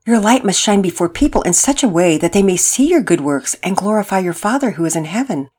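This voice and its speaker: A woman playing a soft-spoken, devout mother who speaks gently and a bit hesitantly. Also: mid-range voice, lively intonation, a little fast, slightly rough. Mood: gentle.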